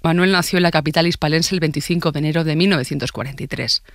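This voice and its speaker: Man, Spain accent, higher-pitched voice, podcast host, scripted true crime narration, dry studio sound. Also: rapid-fire, a bit bright, no echo.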